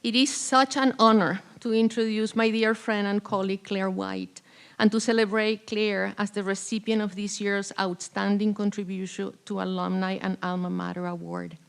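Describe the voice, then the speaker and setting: A woman's voice: mid-range voice, little pitch movement, normal speed, creaky, smooth. A woman presenting an award with a prepared, formal tribute speech. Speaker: award presenter, faculty colleague.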